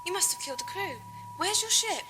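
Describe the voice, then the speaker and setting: A woman's voice: extremely high pitch, lively intonation, a little fast. A woman playing a cold, suspicious young colonist in a science fiction audio drama, blunt and urgent in delivery. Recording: faint hum and noise, amateur tape.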